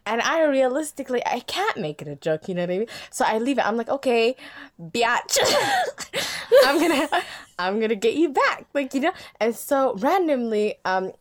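A young woman on a podcast telling a funny story in casual chat, lively and self-mocking, often breaking into laughter. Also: high-pitched, normal speed. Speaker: podcast guest.

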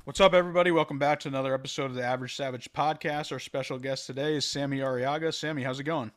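Flat-voiced male podcast host. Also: mid-range voice, soft background music. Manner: casual, halting, with fillers and restarts. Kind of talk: casual interview chat.

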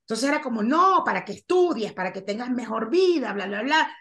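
Venezuelan woman, 40s, bright higher voice, lively guest recounting her past over a video call. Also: fairly quick. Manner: animated storyteller, acts out quoted lines. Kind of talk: casual interview chat.

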